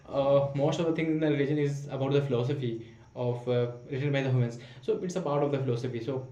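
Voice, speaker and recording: Young man, English, halting self-practice monologue to camera, mid pitch, roomy echo. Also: warm tone. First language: not English. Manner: hesitant, many fillers and restarts. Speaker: vlogger practicing spoken English. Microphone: camera mic. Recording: echoey room.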